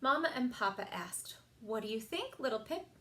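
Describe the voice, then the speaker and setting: A woman reading picture books aloud for a children's story time, expressive and sing-song, with emphasized words. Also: on the high side, normal speed. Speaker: library story time reader.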